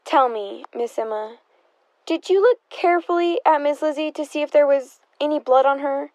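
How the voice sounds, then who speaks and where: A woman's voice: high-pitched, moderate pace, darkish tone, no echo. A woman acting a nosy, sardonic interrogator in a radio drama, asking pointed questions in a wry, insinuating way. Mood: probing, skeptical. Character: nosy, sardonic, probing interrogator of a murder suspect's sister.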